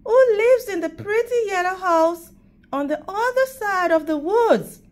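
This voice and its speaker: A woman's voice: extremely high pitch, lively intonation, measured pace, voice pushed up. A woman voicing a sweet, polite, trusting young girl from a storybook fairy tale. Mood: sweet, innocent. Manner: innocent, courteous.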